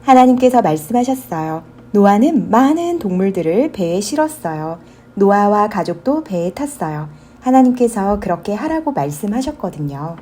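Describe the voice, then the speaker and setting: A female storyteller with a soft-toned, mellow voice at a medium pitch. She reads children's Bible stories gently, explaining things as if to little ones. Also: sing-song, moderate pace.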